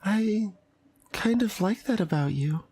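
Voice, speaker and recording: A woman's voice: medium pitch, lively intonation, takes it slowly, mellow, husky, no echo, heavily acted. A woman voicing a shy, polite, bashful anime schoolgirl with a hesitant stammer, in a visual novel. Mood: bashful, tender. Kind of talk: visual novel character voice-over.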